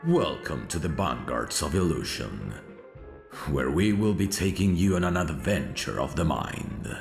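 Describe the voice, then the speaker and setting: A man playing a suave, careful stage magician in a scripted ASMR audio roleplay, with a theatrical, drawn-out showman's delivery. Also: a bass voice, sing-song, creaky, reverberant, faint music behind, exaggerated voice. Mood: showmanlike.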